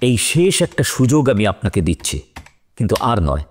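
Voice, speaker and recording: Guy playing strict judge, mid pitch but swinging intonation, commanding, some noise behind. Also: very animated, a little fast, a little mellow, natural voice. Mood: stern. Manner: formal, commanding. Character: stern, authoritative male judge presiding over court. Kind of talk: courtroom audio drama dialogue.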